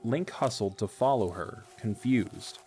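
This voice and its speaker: A man narrates a romantic adventure story in a calm, measured way. His voice sits a little low. Soft background music plays faintly throughout. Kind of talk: scripted audio drama narration.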